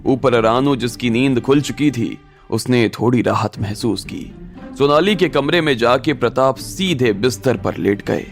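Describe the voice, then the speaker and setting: A man's voice: mid-range voice, unhurried, soft background music. A man narrating a scripted audio drama story.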